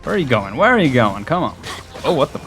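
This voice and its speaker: A man's voice: mid-range voice, big pitch swings, fast. Let's-player, young adult man: informal and rambling, mid-range voice, reacting offhand over light game music. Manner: chuckly, rambling, muttering reactions. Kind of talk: casual gameplay commentary.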